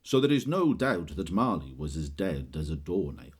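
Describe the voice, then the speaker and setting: An actor playing a grave storyteller, delivering deliberate, emphatic scripted narration for an audio drama in a husky voice. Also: male voice, very deep voice, big pitch swings, exaggerated voice. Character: grave Victorian storyteller narrating a ghost tale.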